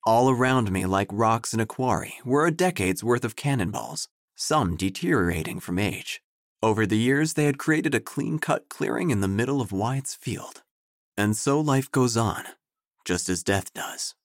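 A man narrating a scripted audio drama in a measured, theatrical, dryly whimsical storytelling manner. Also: mid-range voice, expressive, no room sound, clean studio sound.

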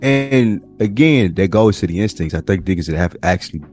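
A man co-hosting a podcast, chatting loosely in slang and working through his points with pauses and restarts. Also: low-pitched, lively intonation, darkish tone, faint music behind. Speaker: podcast co-host. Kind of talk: casual chat.

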